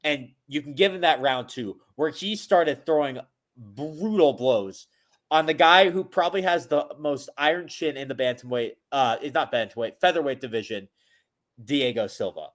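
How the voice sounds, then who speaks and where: A man's voice: fairly high pitch, moderate pace, fairly bright tone. A man hosting a casual podcast chat. He rambles, restarts his sentences often and uses many fillers. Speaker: podcast host.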